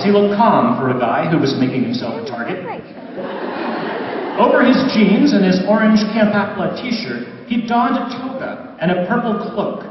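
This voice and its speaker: A man, an author reading a story aloud with expressive, dramatic narration, recorded from a distance in a large reverberant room. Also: sits high. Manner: animated, dramatic storytelling delivery. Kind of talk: live expressive audiobook-style narration of a story. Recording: very echoey hall, far off, noisy, dull.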